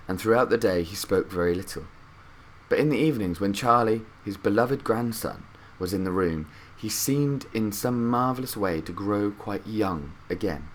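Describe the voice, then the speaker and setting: A man's voice: lowish voice, slight background noise, poor audio. A man with a cold reading a children's story aloud expressively, like a teacher. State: has a cold.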